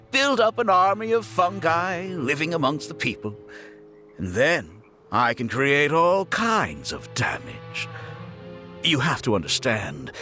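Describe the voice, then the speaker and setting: A man's voice: a little high, creaky, sharp tone, heavily acted. An actor playing a smug, scheming young male villain in a video game, theatrical and gloating, drawing out his emphasis.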